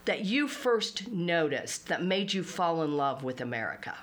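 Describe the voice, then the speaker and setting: A woman hosts a radio talk show in a polished, upbeat announcer style. Her voice sits a little low for a woman and carries well in the studio. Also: very animated, measured pace. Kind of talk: radio interview hosting.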